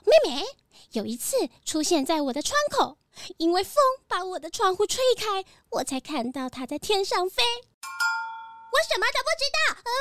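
Very squeaky, panicky lady sheep. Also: sing-song, normal speed, a bit bright, no room sound, full character voice. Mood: flustered. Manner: hysterical, bleats between phrases. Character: panicky bleating female sheep, young lady in a castle. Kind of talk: children's audio drama acting.

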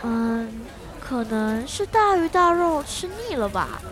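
High-voiced cheerful schoolgirl. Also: very animated, slow, a crowd in the background. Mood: explaining. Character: cheerful schoolgirl helping at family squid soup stall. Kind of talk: children's radio drama acting.

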